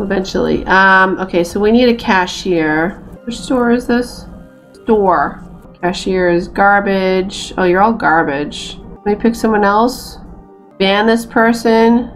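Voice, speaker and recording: A young woman with a Boston accent talks through a management game into a headset, muttering to herself and venting in a chatty, exasperated way. Faint game music plays underneath her voice. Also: medium pitch. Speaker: streamer. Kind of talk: casual gameplay commentary. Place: small room indoors.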